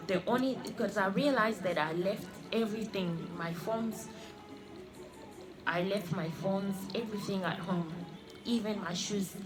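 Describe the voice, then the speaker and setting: A woman in her thirties, born in Congo and raised in Australia, giving an earnest personal religious testimony and often halting to repeat her phrases. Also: medium pitch. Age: 30s. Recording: music playing under the voice. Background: clear background music.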